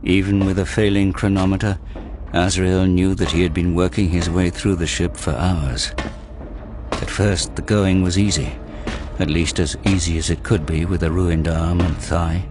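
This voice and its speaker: A man with a deep, low-pitched voice narrates an audio drama. His delivery is scripted, measured and grave. Music plays clearly behind him. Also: fairly even intonation.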